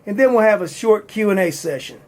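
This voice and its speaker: A man hosting a community talk show, introducing a speaker with halting restarts. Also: high voice, animated, a little mellow. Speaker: host. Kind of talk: introducing a guest.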